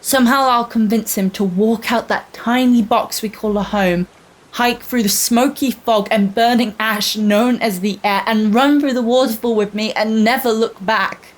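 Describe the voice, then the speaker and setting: A young woman playing an earnest, wistful girl explorer in a radio play, narrating in a measured, confiding, dramatic way. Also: fairly high pitch, steady tone, close to an everyday voice. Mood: wistful, determined. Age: young adult. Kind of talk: scripted first-person radio play monologue.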